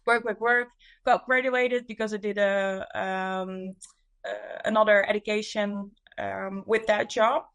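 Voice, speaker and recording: A woman's voice: mid-range voice, fairly even intonation, a little slow, a bit bright. A young woman from the Netherlands with a Dutch accent, chatting casually as a guest in an interview. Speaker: podcast guest, women's coach.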